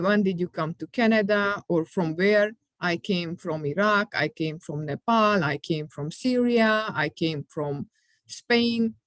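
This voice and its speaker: A middle-aged woman teaching English to adult learners, speaking slowly and patiently and repeating simple phrases. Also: fairly low pitch, no room sound. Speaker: ESL teacher. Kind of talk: classroom instruction recorded for students.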